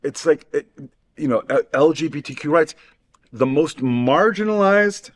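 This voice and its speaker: A middle-aged man from Queens, co-hosting casually and excitably, repeating words for emphasis. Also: a little high. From Queens, New York. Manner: excitable, halting repetitions for emphasis. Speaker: talk show co-host. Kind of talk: casual chat.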